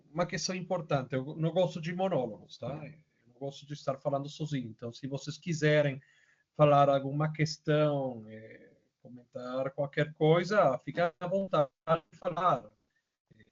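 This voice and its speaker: Venezuelan-accented male presenter, casual and chatty with lots of fillers, dull lo-fi call audio. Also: a little high. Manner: casual, many fillers and tag questions. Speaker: webinar presenter. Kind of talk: online webinar presentation. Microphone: video call. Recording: muffled lo-fi audio.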